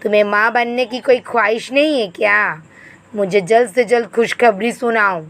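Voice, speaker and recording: A woman voicing a nagging, old-fashioned middle-aged Indian mother-in-law in a scripted animated moral story, with dramatic, scolding exclamations. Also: fairly high pitch, moderate pace. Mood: scolding, demanding.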